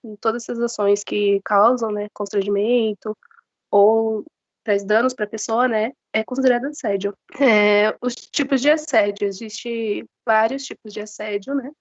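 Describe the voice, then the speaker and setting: A woman's voice: fairly high pitch, fairly even intonation, measured pace, no echo, lo-fi sound. A woman with a Brazilian accent and a flu-affected voice, giving an informal, partly read class presentation a little hesitantly. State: has the flu, voice slightly off. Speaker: student giving a safety talk. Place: online video class.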